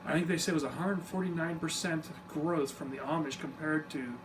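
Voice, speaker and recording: A man's voice: on the high side, brisk. Easygoing man, distant mic, noisy. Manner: easygoing, self-deprecating, informal asides. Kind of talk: casual explanatory talk to camera. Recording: distant mic with steady background noise.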